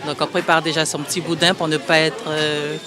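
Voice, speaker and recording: Man from french guiana, quite high voice, casual street interview, lots of people around. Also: flat delivery, fairly quick. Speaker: customer interviewed. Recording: busy crowd behind.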